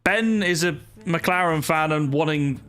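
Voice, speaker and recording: A man's voice: on the high side, measured pace, a bit bright, smooth. A young man chatting casually with viewers on a live stream, greeting people by name and talking with plenty of fillers. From not from Wales. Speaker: sim racing streamer. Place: home streaming setup. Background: music playing.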